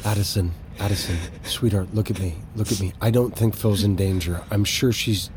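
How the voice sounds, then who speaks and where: An actor playing an anxious middle-aged father and security chief in a naturalistic audio drama, halting but reassuring. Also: lowish voice, fairly even intonation, noisy background, lightly acted. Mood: tender, worried.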